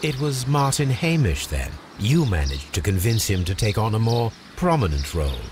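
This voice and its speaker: A Victorian English gentleman detective with a low, very animated voice. He is precise, formal and supremely self-assured, probing as he speaks in the manner of a video game cutscene. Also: moderate pace, noisy background. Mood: calm, probing.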